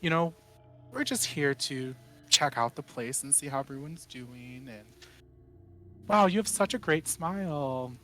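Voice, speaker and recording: A man's voice: mid-range voice, a little slow, pushed and bright. A man voicing a flirty, friendly female adventurer in a tabletop game, sweet and coaxing.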